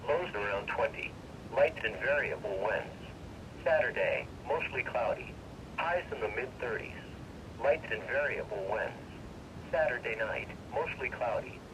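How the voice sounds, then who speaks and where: A man's voice: mid-range voice, unhurried, dull audio. A male weather radio announcer with a synthesized, formal and impersonal delivery, reading a scripted forecast over the radio. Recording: noisy, distant-sounding weather radio broadcast.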